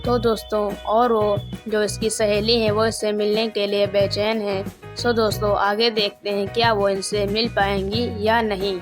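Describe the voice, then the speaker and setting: सपाट लहजे वाली महिला प्रस्तुतकर्ता. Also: female voice, mid-range voice, flat delivery, a little slow. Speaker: presenter recapping the story. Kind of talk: informal story recap addressed to viewers. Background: music.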